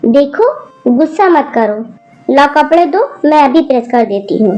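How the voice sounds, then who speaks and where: A woman voicing a patient mother who calmly placates her family. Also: high-pitched, a little slow, dull audio. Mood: appeasing. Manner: calm, appeasing, gentle. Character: patient, soft-spoken mother of a grown daughter.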